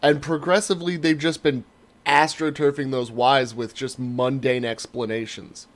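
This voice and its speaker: A man's voice: mid-range voice, flat delivery, normal speed. A man co-hosting a podcast, giving opinions casually with a sardonic, sarcastic edge. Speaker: podcast co-host.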